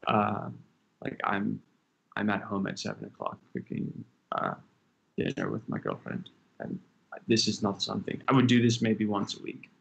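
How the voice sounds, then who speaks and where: A man's voice: lowish voice, big pitch swings, normal speed, creaky, slightly rough, dull audio. A young man, a chef, answering interview questions casually over a video call from a room at home, rambling with many fillers and restarts. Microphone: laptop webcam on video call.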